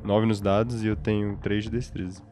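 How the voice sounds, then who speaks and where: Low-voiced Brazilian man at play. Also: flat delivery, moderate pace, darkish tone. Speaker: tabletop game player. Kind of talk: casual in-character talk. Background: music.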